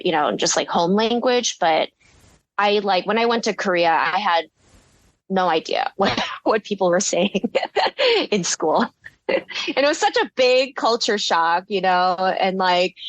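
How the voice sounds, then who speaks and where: A woman's voice: mid-range voice, no room sound, rough recording. A woman in her thirties, a ceramicist telling her story in a casual interview, rambling with lots of fillers and frequent giggles. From United States. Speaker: guest ceramicist.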